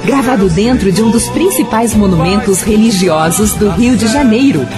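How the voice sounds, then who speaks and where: A woman's voice: medium pitch, expressive, moderate pace. A Brazilian woman reading a scripted promotional announcement as a radio announcer. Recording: loud music under the voice.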